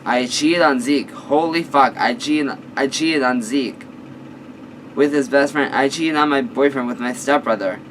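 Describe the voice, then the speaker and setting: A hoarse man voicing a guilty teenage boy talking to himself in a story reading. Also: mid-range voice, a little slow, fairly bright tone, recorded from a distance, slight background noise, close to an everyday voice, poor audio. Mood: panicked.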